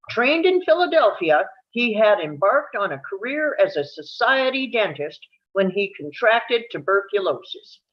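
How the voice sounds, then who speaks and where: A woman reading scripted narration aloud at a live table read, telling it like a story and now and then stumbling over a word. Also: mid-range voice. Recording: dull, lo-fi sound.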